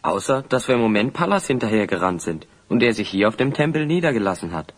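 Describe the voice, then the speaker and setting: A man playing a cheeky, plain-spoken adventurer with a husky voice and a breezy, mocking way in a fantasy audio play. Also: medium pitch, sing-song, quick pace. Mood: matter-of-fact.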